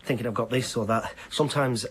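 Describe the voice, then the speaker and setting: An actor playing an anxious, brooding hypochondriac in a radio drama, speaking in an earnest, troubled, hesitant way. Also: male voice, mid-range voice, a little fast, fairly bright tone, a little hiss or hum, close to an everyday voice. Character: anxious, brooding hypochondriac man haunted by his dreams.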